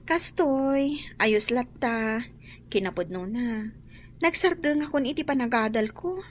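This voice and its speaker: A woman's voice: a little high, lively intonation, measured pace. A woman acting an earnest, plaintive young student daughter, worried and self-sacrificing, in a radio drama. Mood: downcast. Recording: faint background noise.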